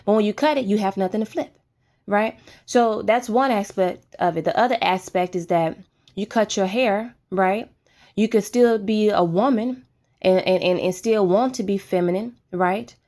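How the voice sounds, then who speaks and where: A woman's voice: mid-range voice, normal speed, darkish tone. A woman giving a casual spiritual testimony, earnest and insistent, who keeps tagging her points with "right?". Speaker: vlogger sharing spiritual testimony. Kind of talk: casual monologue.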